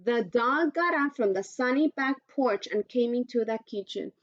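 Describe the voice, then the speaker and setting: A woman teacher reading aloud to children in an instructive way, pausing to pose questions, on a dull recording. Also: fairly high pitch, animated. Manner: instructive, poses questions to listeners.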